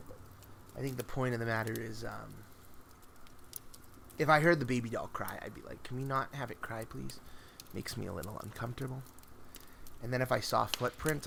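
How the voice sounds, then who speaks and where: A man with a mid-pitched voice hosts a storytelling stream. He speaks informally and loosely, like someone chatting to listeners at home. Clear noise sits behind his voice. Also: brisk, fairly bright tone. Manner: rambling, informal, swears casually. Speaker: podcast host and storyteller. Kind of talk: casual live stream chat between stories.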